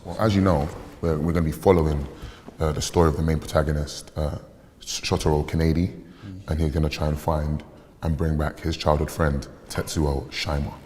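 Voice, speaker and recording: An oblivious male director, played by an actor rambles haltingly through a briefing in a film scene. The voice is extremely deep and hesitant. It rings in a hall with long reverb. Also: little pitch movement, a little mellow. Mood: hesitant, earnest. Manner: halting, hesitant, stumbling over names.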